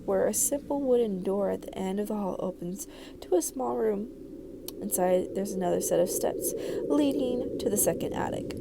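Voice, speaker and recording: A woman's voice: mid-range voice, background noise. A woman reading a visual novel aloud as a game video creator, narrating dramatically and expressively with casual asides.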